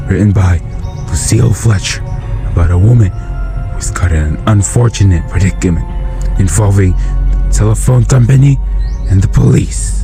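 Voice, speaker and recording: Man acting low-voiced sinister radio horror host. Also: creaky. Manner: theatrical, haughty, absent-minded. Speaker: introducer of a radio drama. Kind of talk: old-time radio horror introduction. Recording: music under the voice.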